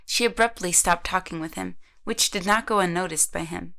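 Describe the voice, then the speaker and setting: A woman's voice: lowish voice, normal speed, vocal fry, pushed and bright. A woman reading scripted narration for an audio drama, telling the story in the third person.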